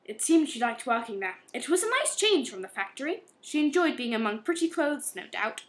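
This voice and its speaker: A woman playing a stern, insistent police inspector with a measured, firm delivery in an amateur radio play. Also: high-pitched, sing-song.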